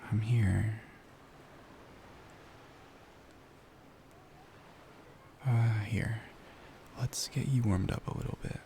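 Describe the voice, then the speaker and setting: A man playing a caring, worried boyfriend in an intimate ASMR roleplay, speaking softly and soothingly, often near a whisper. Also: fairly low pitch, fairly even intonation, measured pace, vocal fry, slight background noise. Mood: reassuring, tender. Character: caring, worried young boyfriend comforting his partner.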